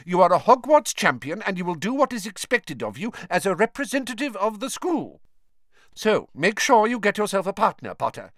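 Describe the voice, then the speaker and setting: A man's voice: high-pitched, big pitch swings, a little slow, a bit bright, no room sound, studio quality, pitched above the performer's own voice, full character voice. A man voicing a stern, prim older female schoolteacher in an audiobook, with a clipped, disapproving manner. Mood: cold, firm. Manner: clipped, disapproving, cold and firm.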